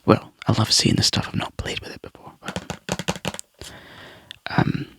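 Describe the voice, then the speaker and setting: A Scottish man chatting to viewers in a soft, rambling ASMR whisper, close to the mic. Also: a little slow. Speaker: ASMR YouTuber.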